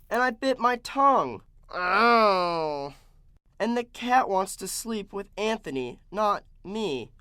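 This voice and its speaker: A man's voice: high-pitched, pushed and bright, clean tone, no room sound, exaggerated voice. A man playing an aggrieved, sulky young boy, telling his story in a dramatic, complaining way for an audio drama. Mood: disgusted, weary. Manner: dramatic, complaining, drawn-out emphasis. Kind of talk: first-person storybook narration in an audio drama.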